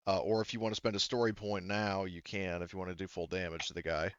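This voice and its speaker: A man's voice: low-pitched, level tone, fast talker, a bit bright, clear, resonant tone, no room sound. A man acting as game master in a casual tabletop game, thinking aloud and explaining rules in detail. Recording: dull, slightly muffled close recording.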